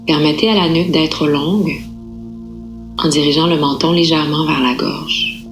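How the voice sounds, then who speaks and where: Low-voiced woman guiding a calm relaxation. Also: faint music behind. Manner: calm, unhurried, with gentle pauses. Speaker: yoga nidra instructor. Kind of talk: guided relaxation meditation, slow and soothing instruction.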